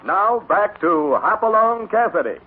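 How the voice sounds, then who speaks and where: A man's voice: high-pitched, very animated, measured pace, narrow, tinny audio. An American man reading a scripted sign-off as announcer of a 1950s radio show. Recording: thin old radio transcription with music. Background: music.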